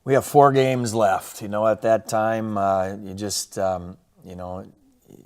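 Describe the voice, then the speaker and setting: A man with an American accent, a football coach talking casually through a game recap in a plainspoken, halting way. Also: on the low side, fairly even intonation, a little mellow, clear, resonant tone. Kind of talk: casual sports recap and highlight commentary.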